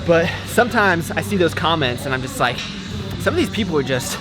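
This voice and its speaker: A young man with a fairly high, bright-sounding voice talks to his viewers in a loose, opinionated vlog style. He stays upbeat and smiley, tripping over his words and starting sentences twice. Soft music plays faintly under him. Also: big pitch swings, fairly quick. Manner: upbeat, smiley, rambling with restarts. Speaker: fitness and cooking vlogger. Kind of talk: casual opinionated vlog talk.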